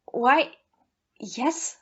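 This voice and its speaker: A woman's voice: drawn-out words, fairly bright tone. A woman playing a nervous, defensive young lady in an audio play, hesitant when questioned, on a muffled recording. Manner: hesitant, faltering under questioning.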